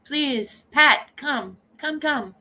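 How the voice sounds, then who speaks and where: A woman hosting a casual livestream, chatting to her viewers in an animated, joking way and swearing freely. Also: high-pitched, drawn-out words. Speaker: livestream host.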